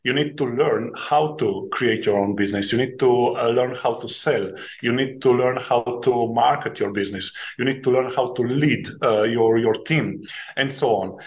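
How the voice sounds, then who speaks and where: A middle-aged man with a Slovenian accent, answering questions as a podcast guest. He speaks at an ordinary pitch, pausing often on "uh" and restarting his sentences. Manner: hesitant, many "uh" fillers, small laughs. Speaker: guest, author and investing teacher. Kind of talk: podcast interview.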